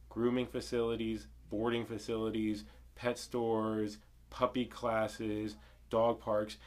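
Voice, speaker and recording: A man with a fairly low voice, a bit snuffly and congested from a cold. He speaks as a veterinarian addressing clients, calm and measured, laying out points one by one. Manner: plain, measured explanation, listing points. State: congested, getting over a cold. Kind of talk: informational clinic video.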